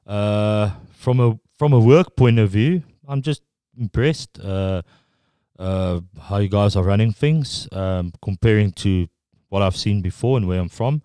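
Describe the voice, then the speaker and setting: Deep-voiced Afrikaans-accented man. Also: warm tone, smooth, no room sound. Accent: South African Afrikaans. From South Africa. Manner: halting, full of restarts and fillers. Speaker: guest. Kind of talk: casual interview chat.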